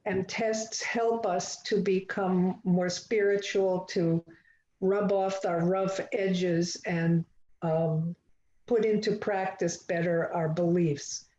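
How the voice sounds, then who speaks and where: A woman speaking slowly and reflectively in an online group discussion, pausing to think. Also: on the low side, fairly even intonation, mellow. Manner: slow, thoughtful, hesitant. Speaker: study group participant. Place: home, video call. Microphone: video call. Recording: roomy dull video call audio.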